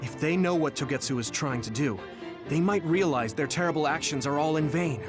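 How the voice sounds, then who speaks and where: Actor as earnest young hero, higher male voice. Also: fairly quick, over music, close to an everyday voice. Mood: determined. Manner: earnest, resolute. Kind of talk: English video game dub acting.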